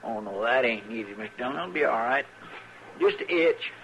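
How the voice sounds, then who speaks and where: An actor playing a folksy, deferential deputy in an old radio western, rambling and apologetic in an American drawl. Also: male voice, medium pitch, expressive, moderate pace, vocal fry, fairly bright tone. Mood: sheepish. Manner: rambling, apologetic, eager to please. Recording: noisy, muffled old radio recording.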